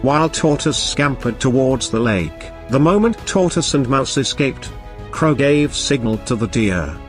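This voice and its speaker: A man's voice: medium pitch, animated, measured pace, soft background music. A man narrating a scripted children's moral story as storyteller.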